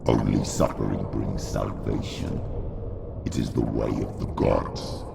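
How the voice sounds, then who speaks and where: An actor playing a menacing, cruel male dark spirit in a video game, speaking slowly and ominously in a guttural growl. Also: extremely low pitch, level tone, gravelly, very noisy, full character voice. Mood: condemning. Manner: slow, ominous, condemning. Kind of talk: dramatic video game acting.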